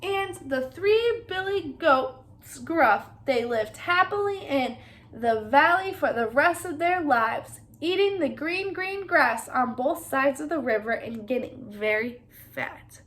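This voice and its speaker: Woman doing a kids story read aloud, pretty high voice, talks to the listeners. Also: expressive, slow, a bit bright. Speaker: children's story reader.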